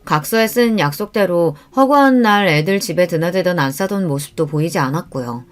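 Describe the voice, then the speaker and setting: A woman narrates a scripted story in the first person. Her pitch is slightly low. The delivery follows a radio-story style. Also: animated, fairly quick.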